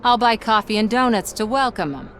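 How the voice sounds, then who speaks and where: A woman's voice: fairly high pitch, expressive, a little fast, fairly bright tone, a little hiss or hum. An actress playing a defiant woman activist, speaking in an earnest, persuasive way. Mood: pleading.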